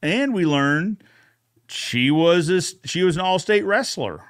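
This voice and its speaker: Male co-host, voice a touch high, informal storyteller in a casual episode recap chat. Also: animated, measured pace. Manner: informal, retells characters' dialogue.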